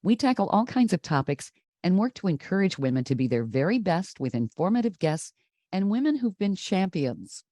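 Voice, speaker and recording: A woman's voice: lowish voice, very animated, moderate pace, mellow, dry recording, clean studio sound. A woman co-hosting a trucking podcast, reading the introduction and then interviewing a guest. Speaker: podcast co-host. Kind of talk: scripted show intro and interview.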